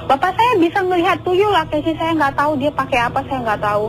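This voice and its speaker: A woman's voice: sits high, a little fast, rough recording. A woman from Medan with an Indonesian accent, calling into a radio show and telling a family story earnestly over the phone. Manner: earnest, repetitive, often addresses the host. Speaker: caller. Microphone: phone line. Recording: radio phone-in with music underneath.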